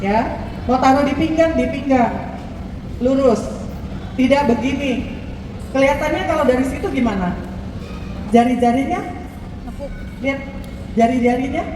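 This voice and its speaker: High-voiced woman coaching in a hall. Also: measured pace, long pauses, noisy background. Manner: conversational, asks rhetorical questions. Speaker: modeling coach. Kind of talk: instructive coaching talk. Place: large echoey mall event space. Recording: echoey hall audio from far off.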